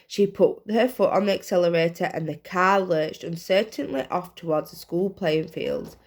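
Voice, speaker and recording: Low-pitched woman reading a children's story. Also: fairly even intonation, moderate pace. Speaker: reader, teacher. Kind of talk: children's storybook read aloud.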